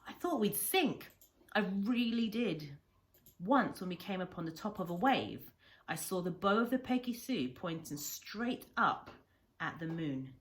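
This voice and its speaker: A woman reading a storybook aloud like a primary teacher, with deliberate, expressive phrasing. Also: medium pitch.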